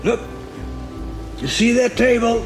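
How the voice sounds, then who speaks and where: A man acting a laid-back foreign fisherman who claims to be a writer, in a radio soap, with slow, drawn-out delivery. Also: very high-pitched, fairly even intonation, over music. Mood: calm, teasing.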